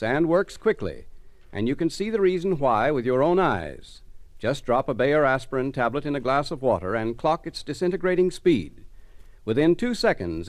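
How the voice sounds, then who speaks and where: A man's voice: medium pitch, no echo. A male radio announcer with a polished, emphatic 1940s delivery.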